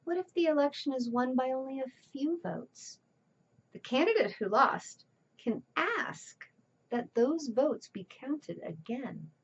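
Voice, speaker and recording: A woman reading a children's storybook aloud with deliberate, theatrical emphasis. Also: sits high, expressive, breathy edge, lo-fi sound. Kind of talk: expressive read-aloud narration.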